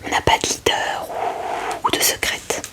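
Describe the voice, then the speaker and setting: A woman whispers a gentle, hesitant, unhurried ASMR commentary close to the microphone as she hosts. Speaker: ASMR host showing a card collection.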